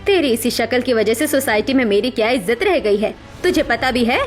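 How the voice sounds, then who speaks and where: Woman acting a scornful, high-voiced scolding mother. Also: expressive, a little fast, close to an everyday voice. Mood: angry, contemptuous. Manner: scolding, contemptuous. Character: harsh, scornful middle-aged mother berating her daughter. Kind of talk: dramatic Hindi cartoon story acting. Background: music.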